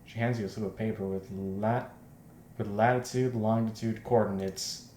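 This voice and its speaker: A man reading a visual novel aloud as a let's player, breaking in with loud, repetitive joking reactions. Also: lowish voice, steady tone, normal speed. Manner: exclaims loudly and repeats himself in reaction. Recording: roomy echo, faint background noise.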